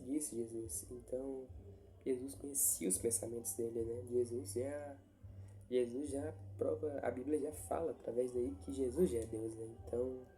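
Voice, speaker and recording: A man's voice: mid-range voice, dark tone. A man, stuffy with a cold, giving an informal Bible reflection with many restarts and tag questions. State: congested with a cold. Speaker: lay preacher sharing a Bible reflection. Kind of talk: informal devotional talk. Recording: faint background noise.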